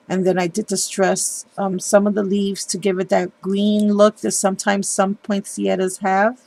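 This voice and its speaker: A woman with a cold, sounding stuffed up, chatting casually through a craft tutorial with many pauses and apologies. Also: medium pitch, gravelly. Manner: chatty, apologetic, hesitant with fillers. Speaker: craft video host.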